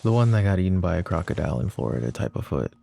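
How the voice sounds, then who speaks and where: Man playing a very deep, gravelly mafia lover. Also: very animated, racing, dark tone, soft background music, heavily acted. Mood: teasing, flirty. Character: smitten mafia boss, flirty, self-deprecating, tender and possessive lover. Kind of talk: intimate ASMR romance roleplay.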